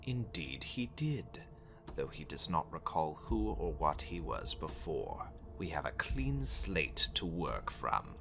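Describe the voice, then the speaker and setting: A man playing a grave, formal high cleric and mentor in a dark fantasy visual novel, with measured delivery. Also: sing-song, creaky, full character voice. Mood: deferential. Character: male vampire high cleric, grave mentor, deferential servant. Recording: music behind the voice.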